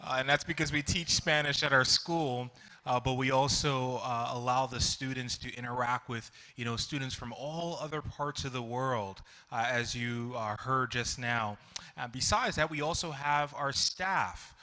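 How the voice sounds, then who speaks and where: A man hosting a church program, introducing school students and staff in a relaxed, casual way with many fillers, speaking into a handheld mic in a large sanctuary. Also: mid-range voice, normal speed, few pauses.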